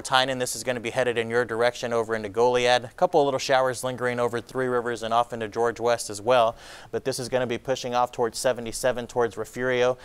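A man's voice: mid-range voice, clear, resonant tone. A man in his thirties with an American accent, presenting an ad-libbed TV weather forecast from a studio. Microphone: lapel mic.

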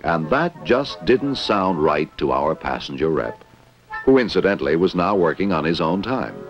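Low, lively American man narrating, dated film sound. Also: normal speed, dull audio, soft background music. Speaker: narrator of a corporate training film. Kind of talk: 1960s scripted industrial film narration.

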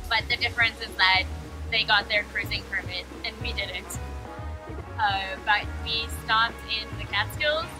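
A woman vlogging to camera on a sailboat, relaxed and chatty with light laughs. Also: mid-range voice, rough recording. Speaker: sailing vlogger. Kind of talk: casual chat to camera. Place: outdoors on a sailboat on a river.

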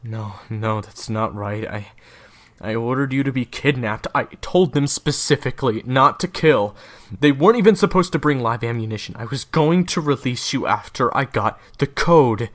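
An actor playing a guarded, short-tempered leader of assassins in an audio drama, terse and weary. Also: male voice, medium pitch, normal speed, close to an everyday voice. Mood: shocked, defensive.

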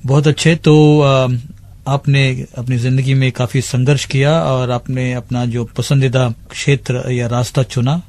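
Mid-pitched male radio interviewer. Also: level tone, a little slow, a little mellow. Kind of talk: polite interview talk.

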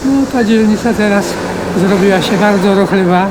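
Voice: medium pitch, normal speed. An older person giving casual answers in an interview outdoors on a city street. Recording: traffic noise on a city street.

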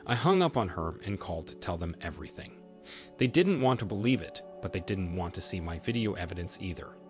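Male narrator, low voice, lively pitch, scripted story read, music behind. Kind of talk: scripted narration of reader stories. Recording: voice-over with soft music bed.

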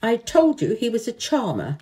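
An imperious young rich boy from a storybook, acted out by a woman. He is commanding and full of curiosity, with a soft tone to his voice. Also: a little high, huge pitch swings, normal speed. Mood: austere.

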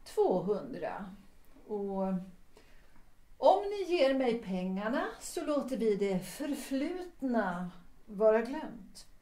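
In a roomy echo, a woman acts a proud widow from a period radio play. Her voice is mellow and formal, deliberate and coolly commanding. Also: on the high side, big pitch swings. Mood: coolly bargaining. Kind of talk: Swedish radio drama dialogue. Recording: echoey room.

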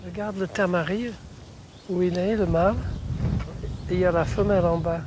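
A man's voice: sits high, fairly even intonation, moderate pace, noisy background. An older man from England, a native English speaker with an English accent, chatting reflectively and hesitantly as a documentary interviewee. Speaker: retired ornithologist.

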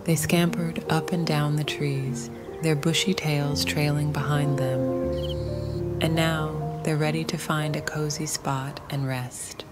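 A woman narrating a calming bedtime story for toddlers in a soothing, gentle manner, with long pauses. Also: low-pitched, loud music behind the voice.

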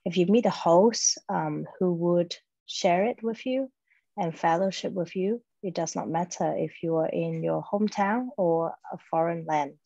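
A woman's voice: lowish voice, gravelly, warm tone, no room sound, lo-fi sound. A woman, a Cantonese speaker, giving careful, hesitant welcome remarks on a video call. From Hong Kong. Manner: slow, careful, hesitant with fillers. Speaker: guest speaker. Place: small home room, plain wall.

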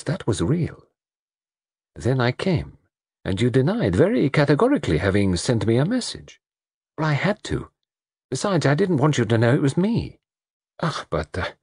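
A man putting on a fussy, courtly older detective, speaking with precise gentleness. The voice rises above the reader's own and swings through a lively range, with a husky edge in a dry, close sound. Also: medium pitch, no room sound, voice pushed up, full character voice. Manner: courtly, precise, softly coaxing. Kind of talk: audiobook character reading.

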